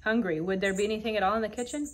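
A woman's voice: mid-range voice, very fast, close to an everyday voice. Woman voicing a tiny, gracious, regal storybook queen. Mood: lively, assured. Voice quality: light, clear.

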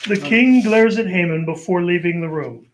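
High-pitched man narrating a radio play. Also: moderate pace, slight background noise, low-quality recording. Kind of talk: scripted old-time radio narration.

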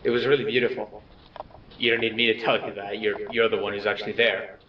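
An awkward, earnest man played by an actor talks haltingly into a video letter, modest and unsure of himself. His voice sits a little low. It comes through echoey and somewhat distant, as a played-back recording. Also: little pitch movement, a little hiss or hum, lightly acted. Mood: hesitant, subdued. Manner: hesitant, halting, softly self-effacing. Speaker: narrator of a personal video letter. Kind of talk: low-key found-footage video message monologue. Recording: dull, echoey, distant playback with music.

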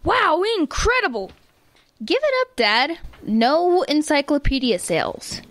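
A woman's voice: high-pitched, big pitch swings, a little slow, fairly bright tone, dry recording, exaggerated voice. A woman acting as a blunt, confident, enterprising daughter in a family radio comedy. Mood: sassy.

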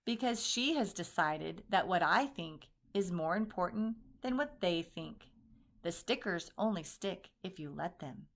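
A woman voicing a kindly, deep-voiced bearded old wood carver who speaks slowly and softly. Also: lively intonation, vocal fry. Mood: tender. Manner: slow, soft, kindly.

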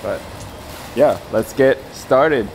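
A man's voice: medium pitch, slow pace, gravelly, background noise. A man in his twenties talking casually to the camera as a vlogging photographer out on city streets, recorded on a camera at arm's length. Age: 20s. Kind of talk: casual vlog talk to camera. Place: city streets, station and covered alleys, outdoors. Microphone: camera held at arm's length. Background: music.

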